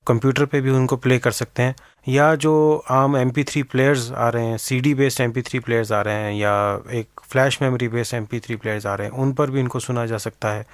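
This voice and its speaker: A man's voice: medium pitch, steady tone, brisk, dry recording, clean studio sound. A man giving explanatory interview answers as an expert guest, mixing English technical terms into his speech.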